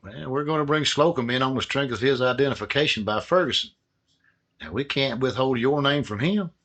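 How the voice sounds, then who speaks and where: An actor playing a calm, methodical male detective partner in a radio drama. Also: medium pitch, fairly quick. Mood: pressing.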